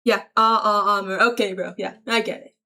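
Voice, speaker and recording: A woman's voice: medium pitch, little pitch movement, fairly quick, vocal fry, heavy background noise. A woman livestreamer casually reading and answering viewer messages aloud in a slangy, chummy, thankful way. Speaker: livestreamer greeting chat.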